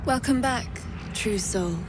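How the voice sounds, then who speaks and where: An actress playing a haughty, covetous woman trader in a video game, speaking slowly with imperious indulgence. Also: fairly high pitch. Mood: haughty, gratified. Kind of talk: video game cutscene acting. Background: loud music.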